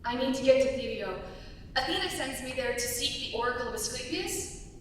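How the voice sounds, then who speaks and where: A woman's voice: lively intonation, a little fast, fairly bright tone. A young woman playing a wounded, devout young woman urgently seeking help, in a live dramatic reading. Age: young adult. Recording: echoey hall from far off, rumble.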